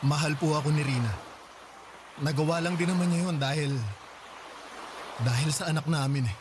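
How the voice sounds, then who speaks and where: A man's voice: fairly high pitch, normal speed, noisy background, lightly acted. A man playing a humble, devoted young father in a Tagalog radio drama, speaking politely and earnestly. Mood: earnest, resolute.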